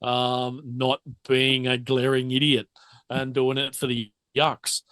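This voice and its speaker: A man's voice: mid-range voice, completely flat delivery, slow pace, a bit bright, smooth, no room sound. A man hosting as game master, chatting casually in a rambling, jokey, self-deprecating way. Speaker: game master and stream host. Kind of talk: casual stream sign-off chat.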